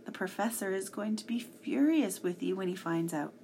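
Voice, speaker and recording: A woman voicing a headstrong, indignant little girl in a storybook, speaking in sharp, scolding bursts. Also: medium pitch, expressive, moderate pace, a little hiss or hum, lightly acted. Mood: defiant, near tears.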